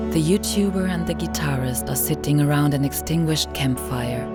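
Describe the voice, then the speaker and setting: An actress playing a detached narrator of a surreal journey in a scripted radio play, with new-age music behind her. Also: low voice. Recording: loud music bed under voice. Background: loud new-age music.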